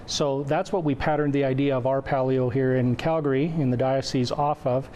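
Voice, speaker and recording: A man's voice: medium pitch, fairly even intonation, moderate pace, dark tone, a little hiss or hum. A man in his forties from western Canada whose first language is Croatian, speaking as an event organizer in an informal TV interview. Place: indoor TV studio. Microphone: lapel mic.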